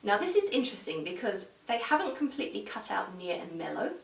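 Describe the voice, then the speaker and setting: A woman giving a casual, conversational video review in a measured way, talking through her opinions. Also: mid-range voice, sing-song, creaky. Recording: echoey room, distant microphone.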